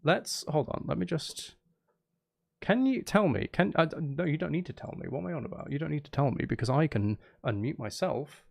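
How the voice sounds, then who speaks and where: Rambling man streamer with animated, creaky voice. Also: a little high, brisk. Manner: rambling, repeats phrases, self-interrupting. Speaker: live streamer. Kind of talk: casual livestream chat with viewers.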